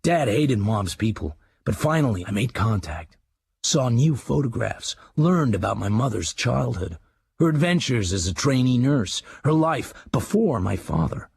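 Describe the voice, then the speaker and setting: Gravelly, brooding hard-boiled American narrator. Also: male voice, mid-range voice, sing-song, a little slow, darkish tone, no room sound, heavily acted. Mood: reflective. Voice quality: husky, gravelly. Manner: terse, hard-edged, intimate. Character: brooding, hard-boiled American male crime writer narrating his life. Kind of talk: dramatised first-person scripted narration.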